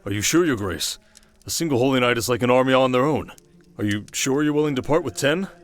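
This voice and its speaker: A man playing a courteous, protective young king and tender husband in an ASMR fantasy roleplay, polite and measured. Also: medium pitch, lively intonation, close to an everyday voice. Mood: respectful.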